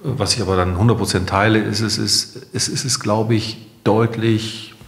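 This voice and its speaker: A man's voice: on the low side, fairly even intonation, moderate pace, creaky. A middle-aged man speaking conversationally as a guest in a podcast interview. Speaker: interview guest, company founder. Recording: roomy, echoey sound.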